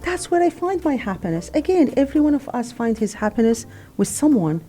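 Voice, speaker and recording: Earnest mellow-voiced woman from Syria. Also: on the high side, sing-song, fairly quick, faint music behind. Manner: earnest, reflective, many "you know" fillers. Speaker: guest. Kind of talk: casual radio chat.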